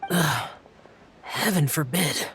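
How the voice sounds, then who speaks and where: A man voicing an aloof, reserved young immortal in a video game, terse, formal and halting. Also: on the high side, gravelly, fairly bright tone, slight background noise, full character voice. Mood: flustered, deferential. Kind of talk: scripted video game voice acting.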